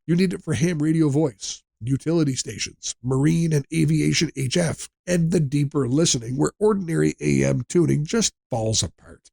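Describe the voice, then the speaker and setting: A man's voice: fairly high pitch, creaky, darkish tone, slightly rough, dry recording, studio quality. A man narrating a scripted product buyer's guide in a direct, plain-spoken, instructive way.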